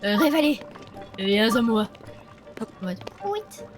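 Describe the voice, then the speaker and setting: A young woman with a blocked nose and a slightly nasal sound chats casually and laughs often as she plays. Her voice sits fairly high and swings widely in pitch. Game music plays clearly behind her at home. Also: very animated, slow. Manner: chatty, laughs often, talks to viewers. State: stuffy nose from a cold. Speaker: let's play streamer. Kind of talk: casual gameplay commentary.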